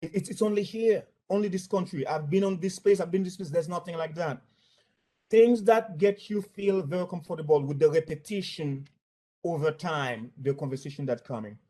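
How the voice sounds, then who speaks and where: A man from Haiti with a Haitian accent gives a spontaneous account of workplace incidents, speaking haltingly and often repeating words and phrases. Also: a little high, warm tone. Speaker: former bank employee giving testimony.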